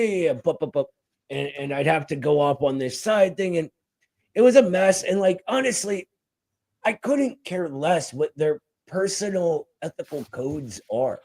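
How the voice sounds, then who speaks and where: Higher-voiced man hosting a casual stream. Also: gravelly, a little mellow. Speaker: live stream host. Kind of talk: casual chat.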